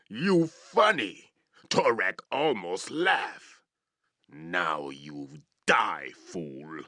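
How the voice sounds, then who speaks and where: An actor playing a brutish, boastful orc chieftain in a fantasy video game, growling threats in broken English. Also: male voice, lowish voice, bright, forceful tone, no echo, clean studio sound, heavily acted. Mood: mocking, then furious. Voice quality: gravelly, growling.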